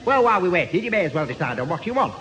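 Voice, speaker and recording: A man's voice: high-pitched, sing-song, rapid-fire, fairly bright tone. An actor playing a fussy, suspicious, officious shopkeeper in a radio sitcom, piling up synonyms as he talks. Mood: businesslike. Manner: repeats himself in synonyms, officious. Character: fussy, suspicious, pedantic male toy shopkeeper. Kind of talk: 1970 radio sitcom acting. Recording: noisy old radio recording, long reverb.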